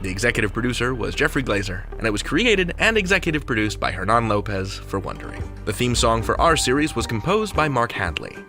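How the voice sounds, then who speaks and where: A man's voice: medium pitch, very animated, a little fast, few pauses, sharp tone, faint music behind. A man hosting a podcast in a chatty, comic style, playful and conversational. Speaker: podcast host.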